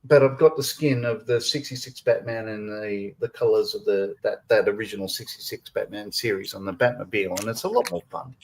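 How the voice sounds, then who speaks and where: A man's voice: fairly low pitch, brisk, gravelly, few pauses, rough recording. A man hosting a casual live stream, chatting freely, laughing often and reading viewer comments aloud. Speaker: stream host.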